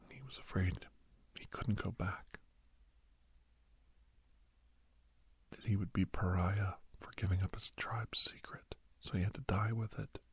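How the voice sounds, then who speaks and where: Very deep, husky, whispery man playing a grave doctor. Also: steady tone, no echo, exaggerated voice. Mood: somber. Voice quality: husky, breathy, often whispering. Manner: halting, hushed, dramatic delivery. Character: grave male doctor recounting a frightening memory to youngster. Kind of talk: ASMR roleplay storytelling.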